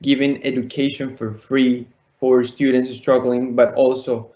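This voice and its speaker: A teenage man from Colombia, giving a prepared pitch over an online call. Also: little pitch movement, measured pace, warm tone, lo-fi sound. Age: teens, high school student. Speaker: student entrepreneur pitching.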